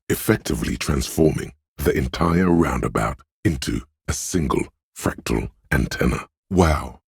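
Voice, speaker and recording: Casting: a detective's sardonic inner voice for a role-playing game. Rock-bottom register, close dry sound, erudite, gravelly delivery. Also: male voice, a bass voice, no room sound, heavily acted. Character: wry, erudite inner voice narrating a detective's thoughts. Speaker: game narrator and inner-voice actor. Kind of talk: scripted second-person narration for a role-playing video game.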